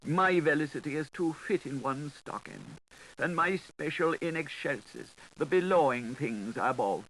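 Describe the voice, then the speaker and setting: A man's voice: on the high side, a little slow, fairly bright tone, slight background noise, pitched above the performer's own voice, rough recording. A man voicing a meek, fawning talking creature with a thin, whining, pleading delivery in an audiobook. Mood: whimpering. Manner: obsequious, pleading.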